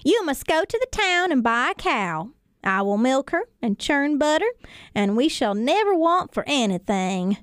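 A woman voicing an excitable, practical wife in a children's folktale, with exclamatory delivery. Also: high voice, measured pace, no echo, clean studio sound, pitched above the performer's own voice. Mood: planning.

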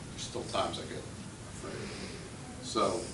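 A man's voice: low-pitched, unhurried. A man in the audience of a hotel meeting room asking a hesitant question, checking he understands. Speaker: workshop attendee. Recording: roomy, distant, noisy.

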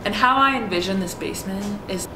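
A woman's voice: medium pitch, a little fast, background noise. Bubbly, cheeky young woman in an echoey room. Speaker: vlogger giving a house tour. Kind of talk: casual chatty walkthrough. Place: unfinished house under construction, indoors. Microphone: small clip-on mic. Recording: echoey framed-out rooms with music behind.

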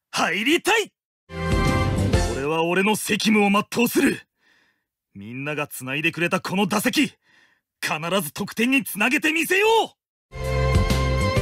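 An actor playing a fervent, honourable young swordsman in an anime-style game, booming and declaiming with resolve. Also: pushed and bright, husky, dry recording, full character voice. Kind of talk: anime-style mobile game character lines.

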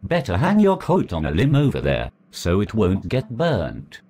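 A man's voice: fairly low pitch, huge pitch swings, unhurried, a little mellow, slight background noise, heavily acted. A man voicing a sly male rabbit, coaxing another animal with a trick in a storybook tale.

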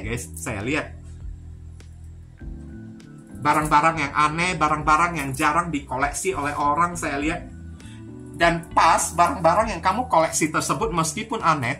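A woman from Indonesia talks through a tarot reading in a bright, forceful voice that sits fairly low. She is chatty and circles back over her points, often turning to address her viewers. Music plays softly behind her. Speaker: tarot reader. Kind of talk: informal card-reading talk to viewers. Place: indoors at a card table.

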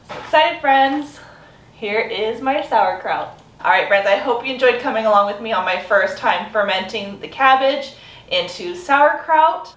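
A woman's voice: a little high, a bit bright. A woman in her thirties giving a friendly step-by-step cooking demonstration in her home kitchen, filmed by a camera across the counter. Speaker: homesteading vlogger. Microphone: camera mic from across a counter. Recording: roomy sound from a far mic.

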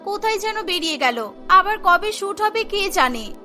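Bright high-voiced woman narrating a cartoon. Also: animated, normal speed, soft background music. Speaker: narrator voicing all female characters. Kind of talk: scripted storybook narration with acted dialogue.

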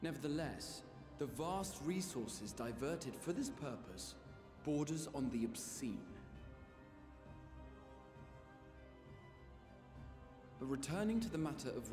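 A man playing an earnest young cat-eared scholar in a video game, presenting findings in formal, deliberate speech. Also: medium pitch, natural voice. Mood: disapproving. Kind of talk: scripted video game acting. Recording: echoey room, music behind.

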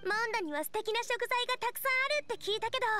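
A woman's voice: very high voice, racing, bright, forceful tone, faint music behind. A woman voicing a cheerful, eager teenage girl chef with a bubbly, friendly manner in an anime game. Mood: curious, friendly.

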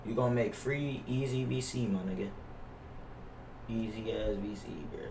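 A man's voice: on the low side, normal speed. A young American man chatting casually to livestream viewers as he games, slangy, profane and complaining. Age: young adult. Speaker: livestreamer playing a basketball video game. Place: indoors at a gaming setup. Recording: roomy, distant mic with faint noise.

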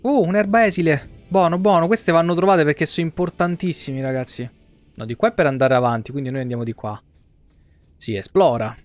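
A man's voice: a little high, brisk, no room sound, soft background music. A man giving casual, foul-mouthed and jokey live game commentary in slangy speech. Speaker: video game streamer.

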